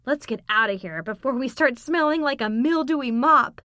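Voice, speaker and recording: Brief: woman doing a dorky teen heroine, high and bouncy, playful and sing-song. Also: expressive, normal speed, sharp tone, slight background noise.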